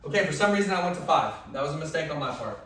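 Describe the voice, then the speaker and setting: Male dog trainer, loud voice, chatty and instructive; distant mic in a boomy room with light hiss. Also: lively intonation, racing, heavy reverb. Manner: instructive, conversational, drops to soft commands to the dog. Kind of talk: hands-on training demonstration.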